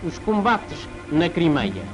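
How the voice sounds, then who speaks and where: A man with a slightly high voice narrates a 1940s wartime newsreel. He delivers the script formally, in a declamatory announcer's style. Music plays clearly behind him on the old film soundtrack. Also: very animated, slow pace, low-quality recording.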